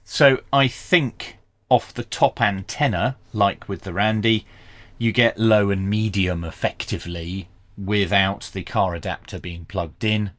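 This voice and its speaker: A man's voice: lowish voice, steady tone, unhurried, fairly bright tone, no room sound. A man presenting a hands-on equipment review, explaining each step methodically in the style of a tutorial.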